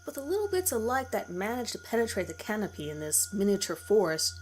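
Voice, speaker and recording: A woman's voice: medium pitch, very animated, fast talker, a little mellow, slight background noise. A woman reading a scripted horror story in the first person as its narrator. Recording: music behind the voice. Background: background music.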